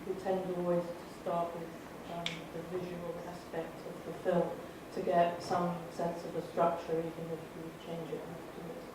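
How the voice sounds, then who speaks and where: A woman, a film director, answering an audience question with frequent pauses and fillers. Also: on the low side, completely flat delivery. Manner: hesitant, filler-heavy. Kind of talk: festival Q&A answer. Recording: echoey hall, distant mic, noisy.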